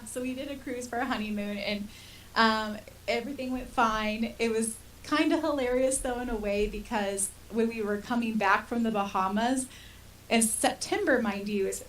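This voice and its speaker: Woman in her thirties, slightly distant mic. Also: medium pitch. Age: 30s. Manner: chatty, self-deprecating, colloquial. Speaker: podcast host. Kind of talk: casual personal storytelling. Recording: distant mic with faint hiss.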